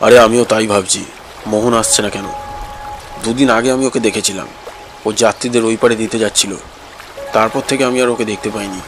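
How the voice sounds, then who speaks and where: A man's voice: on the low side, fairly even intonation, fairly quick, pauses often, over music, natural voice. A man playing a humble, plainspoken village boatman in a cartoon, talking conversationally. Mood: puzzled. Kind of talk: cartoon dialogue.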